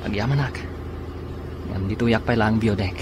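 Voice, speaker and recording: A man's voice: lowish voice, moderate pace, background noise, lightly acted. An actor playing an eager, reassuring young male student in a radio drama. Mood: polite.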